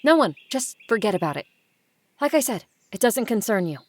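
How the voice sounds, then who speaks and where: A woman's voice: a little high, sing-song, fairly quick. A woman playing a guarded, blunt adult daughter of a wealthy family in an audio drama, with a dry, curt way of talking. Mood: defensive, annoyed. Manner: dry, curt, bossy with siblings.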